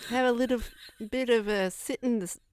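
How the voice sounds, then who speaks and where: A woman's voice: a little high, fairly quick, darkish tone, smooth, voice pushed up, lightly acted. A woman with an Australian accent putting on a hammy Australian bloke. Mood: hammy banter.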